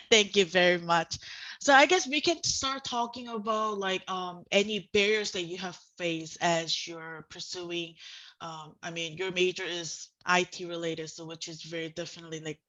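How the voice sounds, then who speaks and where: A woman with a very bright, fairly low voice moderates a student panel over a video call. Her semi-formal delivery is halting and peppered with informal filler phrasing. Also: low-quality recording. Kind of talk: semi-formal presenting and interviewing. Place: online video call with screen sharing.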